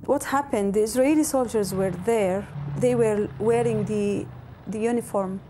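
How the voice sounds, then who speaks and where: A woman, telling her own story for a documentary in a measured, plain way. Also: medium pitch, background noise. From Lebanon. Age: 40s. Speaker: documentary subject. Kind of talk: personal testimony narration.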